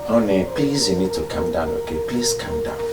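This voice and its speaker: A man's voice: fairly low pitch, animated, moderate pace. A man playing a placating husband who reassures his angry wife in a film drama. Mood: coaxing. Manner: coaxing, reassuring. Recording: echoey room, loud background music.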